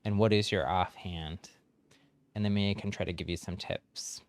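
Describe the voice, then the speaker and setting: A man's voice: low voice, steady tone, moderate pace, dry recording. A man streaming a game, chatting casually with viewers, friendly and chatty with frequent ums and laughs. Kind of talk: casual chat while gaming on stream.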